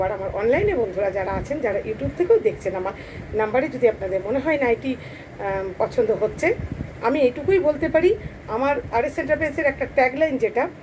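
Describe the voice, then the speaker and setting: Casting: woman, Kolkata Bengali accent, higher-pitched, informal shop-owner sales talk with English mixed in, recorded over clear background noise. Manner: repeats phrases, mixes English words into talk. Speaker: shop owner presenting nightwear for sale. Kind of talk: informal online sales presentation.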